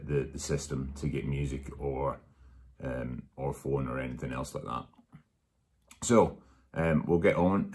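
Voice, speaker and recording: A man's voice: very deep voice, a little mellow, husky. A man giving an informal hands-on tutorial indoors at home, speaking in a hesitant, matter-of-fact way. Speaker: DIY workshop presenter. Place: indoors at a dining table at home.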